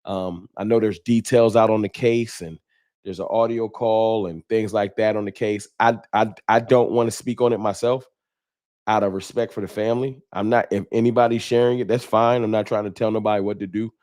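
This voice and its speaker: A man's voice: on the low side, fairly even intonation, brisk, a little mellow, no echo, clean studio sound. An American man hosting a sports fan livestream, talking casually and candidly to his viewers in informal, colloquial speech. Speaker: livestream host, sports fan content creator. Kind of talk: casual livestream talk to viewers, reading chat.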